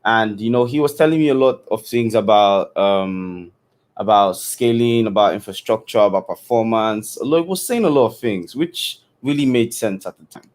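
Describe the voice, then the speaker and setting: A man's voice: on the low side, moderate pace, a little mellow. A man from Nigeria giving a casual keynote on a video call, loose and full of fillers. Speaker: keynote speaker. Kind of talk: online conference talk.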